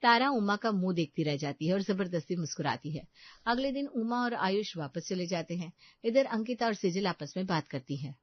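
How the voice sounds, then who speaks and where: A woman's voice: medium pitch, expressive, normal speed, no echo, lo-fi sound. A woman giving a scripted narration of a story.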